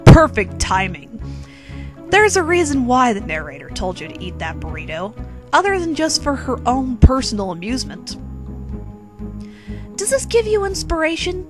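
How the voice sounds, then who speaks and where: A woman's voice: sits high, very animated, moderate pace. A woman giving a dramatic comic story reading as narrator, playful and wry, speaking straight to the listener. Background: faint music.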